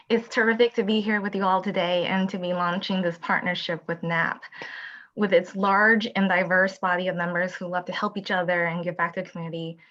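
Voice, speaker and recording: A middle-aged woman from Taiwan, a company founder giving an earnest, measured presentation with frequent ums over a video call. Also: fairly low pitch, a bit bright, muffled recording. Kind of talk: prepared presentation.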